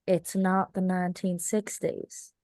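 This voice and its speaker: A woman's voice: on the low side, little pitch movement, measured pace, darkish tone, dry recording, clean studio sound, natural voice. A woman playing a self-assured grown daughter who competes in pageants, at a screenplay table read. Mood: exasperated, breezy.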